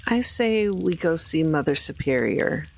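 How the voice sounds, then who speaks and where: A woman takes part in a tabletop game with strong vocal fry. She speaks casually as her character, reasoning things through aloud. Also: low voice, lively intonation, a little slow. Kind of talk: casual improvised in-character talk.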